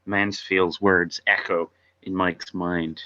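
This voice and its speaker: A man's voice: sits low, level tone, slow, bright, forceful tone. A man reading out stage directions as narrator at a script table read, on a lo-fi recording.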